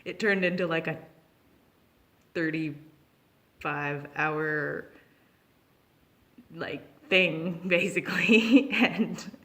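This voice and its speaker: A young woman with a fairly low voice tells a personal anecdote to her viewers. She is chatty and informal, prone to giggling, and she acts out the dialogue as she goes. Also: very slow, pauses often, a bit bright. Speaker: storytelling vlogger. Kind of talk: casual, unscripted personal storytelling.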